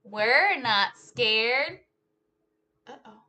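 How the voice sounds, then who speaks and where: A woman's voice: a little high, lively intonation. A woman reading a children's story aloud like a teacher, theatrically, drawing out key words in a sing-along chant. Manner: theatrical, drawn-out key words, shifting between hushed whispers and loud exclamations. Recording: noticeable background noise.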